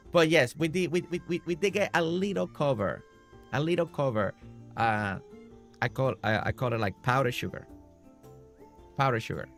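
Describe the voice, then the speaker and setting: A man's voice: mid-range voice, lively intonation, moderate pace, pauses often, faint music behind. A man streaming a building game, chatting casually with viewers by name and a little congested. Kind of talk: casual live-stream chat while building.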